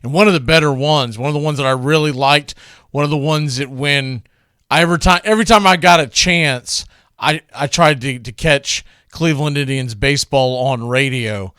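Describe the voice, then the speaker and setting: A man with a clean, smooth, slightly higher-set voice talks baseball in a conversational way, as a radio host introducing classic calls. The sound is close and dry. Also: moderate pace, sharp tone, dry recording. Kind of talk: casual baseball commentary introducing archive calls.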